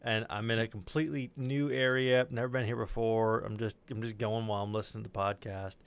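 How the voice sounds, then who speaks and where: A man's voice: on the low side, little pitch movement, fairly quick, clear, resonant tone. A man chatting casually on a livestream voice call, enthusiastic and slangy, prone to swearing.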